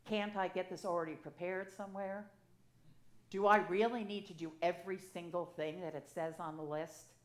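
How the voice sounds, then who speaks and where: A woman's voice: on the low side, fairly even intonation, moderate pace. Storytelling woman priest in echoey church. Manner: conversational, personal storytelling with gestures. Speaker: assisting priest. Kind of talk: sermon. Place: church sanctuary, at a pulpit. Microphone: gooseneck pulpit mic.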